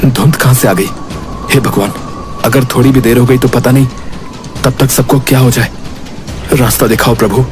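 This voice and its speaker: An actor playing an earnest, anxious thin young villager in a cartoon moral story, exclaiming and muttering to himself imploringly. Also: male voice, medium pitch, steady tone, normal speed, pauses often, close to an everyday voice. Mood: alarmed, bewildered. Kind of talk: animated Hindi moral story voice acting. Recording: loud music behind the voice. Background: music.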